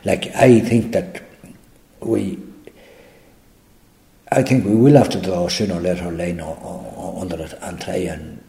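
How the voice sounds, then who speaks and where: Deep-voiced older Irish man, halting. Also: steady tone, vocal fry, dark tone. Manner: halting, many restarts and trailing phrases. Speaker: interviewee. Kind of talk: reflective interview answers. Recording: echoey room.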